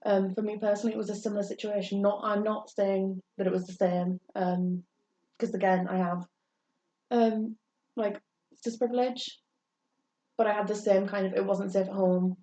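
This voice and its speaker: A woman's voice: mid-range voice, flat delivery, moderate pace, mellow. A young woman co-hosting a podcast, telling her own story in a candid, rambling way with many hesitations. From England. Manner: earnest, rambling, frequent hesitations. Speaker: podcast co-host. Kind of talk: candid personal chat. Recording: roomy, distant, dull with faint hiss.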